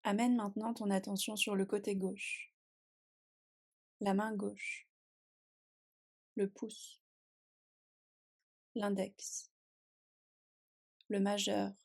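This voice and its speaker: A woman guiding a relaxation meditation in a calm, soothing and unhurried manner, speaking in short phrases with long pauses. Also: mid-range voice, creaky. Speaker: yoga nidra meditation guide. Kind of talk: guided relaxation meditation, scripted.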